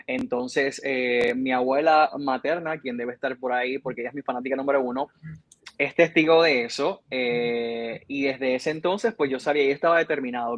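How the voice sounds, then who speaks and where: A man's voice: medium pitch, no room sound. Young Puerto Rican man answering interview questions. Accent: Puerto Rican Spanish. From Puerto Rico. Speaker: interview guest, journalist and TV reporter.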